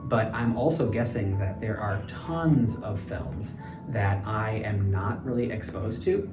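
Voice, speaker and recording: A man's voice: lowish voice, slow pace. A man in his thirties answering interview questions in a hesitant, thoughtful way with frequent ums. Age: 30s. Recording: roomy, distant mic, music underneath.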